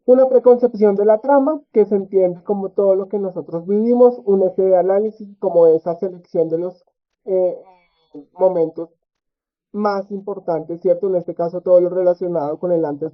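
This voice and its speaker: A man giving an academic research presentation at a conference, halting often with filler sounds and tag questions. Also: high voice. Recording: tinny phone-like audio.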